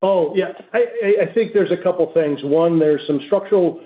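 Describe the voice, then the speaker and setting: A man speaking as a company executive, giving halting, measured answers on a business conference call. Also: high voice. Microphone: conference call line. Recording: narrow phone-line audio.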